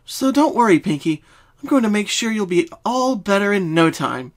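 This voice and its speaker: A man's voice: high-pitched, expressive, moderate pace, voice pushed up. A man voicing a confident, studious young female unicorn who explains things reassuringly in a dramatic story reading. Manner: assured, explanatory.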